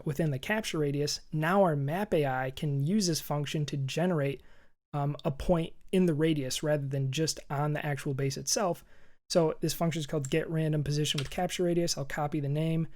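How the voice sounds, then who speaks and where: A hoarse man with a scratchy edge narrates a coding lesson. He talks in a chatty, upbeat way while he types, thinking aloud as he goes. Keys clatter behind him. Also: on the high side, darkish tone. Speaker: programming tutorial host. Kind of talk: screen-recorded coding walkthrough. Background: keyboard typing.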